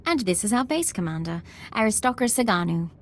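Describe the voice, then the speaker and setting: Young female ensign for sci-fi game actress: brisk, composed, dryly wry, mid pitch, light background ambience. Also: expressive, slight background noise, close to an everyday voice. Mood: polite, dryly wry. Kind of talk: video game voice acting.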